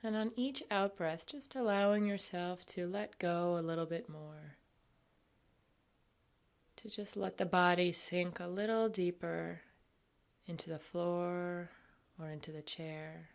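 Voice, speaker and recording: A woman guiding a meditation as an instructor, speaking gently and without hurry, leaving long pauses. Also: fairly low pitch, steady tone, gravelly. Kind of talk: guided body scan meditation.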